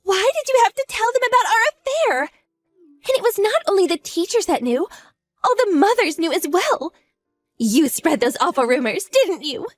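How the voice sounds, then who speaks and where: A woman's voice: high-pitched, unhurried, dry recording, faint music behind, pitched above the performer's own voice. A woman voicing a frantic young mother who is the husband's lover, shrieking and near tears. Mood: tearful. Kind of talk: audio drama acting.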